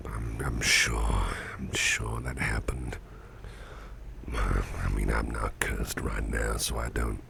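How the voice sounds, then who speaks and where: A man performs an ailing, sleepy boss in an intimate ASMR roleplay. His voice is extremely deep and full of heavy vocal fry, drifting along in a breathy murmur. He rambles gently and hesitantly at a slow pace, repeating himself as he confides. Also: fairly even intonation, noisy background, heavily acted. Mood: groggy, musing. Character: sickly, drowsy, sweet-tempered boss confiding in his employee.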